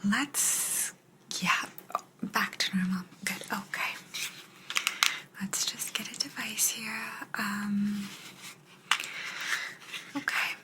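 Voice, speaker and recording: A woman playing a soothing, softly bossy alien abductor in a hushed, breathy ASMR whisper roleplay. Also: medium pitch, fairly even intonation, rough recording. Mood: calm, clinical, attentive. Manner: slow, soothing, hushed, matter-of-fact.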